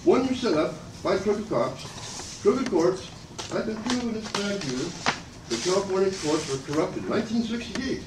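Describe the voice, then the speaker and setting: An older man speaking loudly and abrasively in a rambling public comment at a meeting. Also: expressive, moderate pace. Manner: loud and booming, abrasive, rambling and aggrieved. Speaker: member of the public giving comment. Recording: echoey room from far off, noisy.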